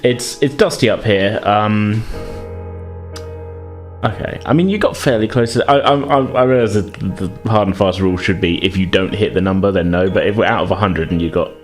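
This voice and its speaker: A man's voice: lowish voice, normal speed, soft background music. A man narrating a tabletop game as game master in a hesitant, self-correcting, conversational way.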